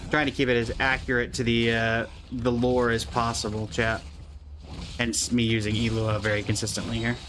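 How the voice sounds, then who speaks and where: A young man chatting casually over his gameplay, mid-pitched and wry. He teases and comments to his viewers between lines he reads aloud, quick and offhand. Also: monotone, fairly bright tone, a little hiss or hum. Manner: wry, teasing asides to chat. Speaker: streamer reacting to a game. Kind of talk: casual reaction commentary, reading dialogue aloud.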